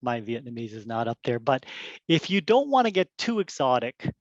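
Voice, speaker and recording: A man's voice: mid-range voice, big pitch swings, normal speed, dry recording. Chatty man presenting, dull dry sound. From Korea. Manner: friendly, chatty, many ums and uhs. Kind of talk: casual workplace presentation.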